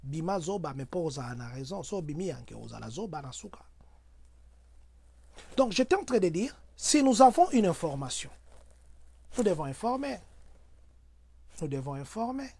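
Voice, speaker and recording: A man talks through everyday advice for his community. He is earnest and preachy, and his voice jumps to loud exclamations when he makes a point. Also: fairly high pitch, very animated, normal speed, creaky, pauses often, slightly rough, no echo. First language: Swahili. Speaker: community advisor.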